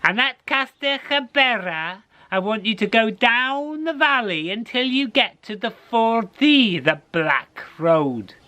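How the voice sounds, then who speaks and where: A man voicing a very old, solemn woman in a told story, questioning and instructing in a deliberate way. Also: very high voice, very animated, sharp tone, pitched above the performer's own voice, heavily acted.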